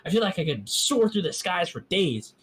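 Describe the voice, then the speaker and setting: A timid, sweet-natured little female pony speaks in a lifted, soft and gushing voice, as a man puts her on. Her pitch rises well above his own and swings widely from word to word. It is heard over a voice call. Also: very animated, normal speed, husky, heavily acted. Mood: overjoyed.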